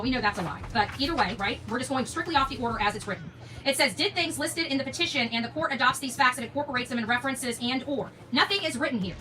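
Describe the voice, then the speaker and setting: Indignant female livestreamer, bright forceful delivery, recorded at a distance from the mic. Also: high voice. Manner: indignant, emphatic, repeats herself for stress. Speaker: YouTube channel host. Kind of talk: livestream commentary, reading documents aloud. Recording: distant mic, noticeable background noise.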